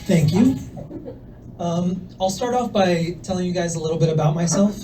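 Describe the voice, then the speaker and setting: A young man from El Salvador doing a stand-up set in a comedy club, with a soft voice and a hesitant, deadpan delivery full of fillers. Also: high voice, moderate pace, soft background music. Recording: voice from far off the mic.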